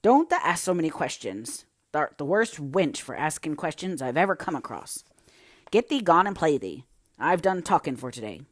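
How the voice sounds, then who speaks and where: A woman's voice: on the low side, sing-song, moderate pace, no room sound, clean studio sound. A woman as a grumbling old gardener. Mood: cross. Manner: grumbling, blunt dialect speech. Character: crusty old male country gardener, grumbling but secretly kind.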